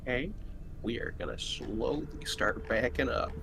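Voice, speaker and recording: A man's voice: medium pitch, measured pace, vocal fry. A man chatting casually as a player in a tabletop game, hesitant and thinking aloud over an online call. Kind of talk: casual chat during a game. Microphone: online group call. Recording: faint background noise on online call.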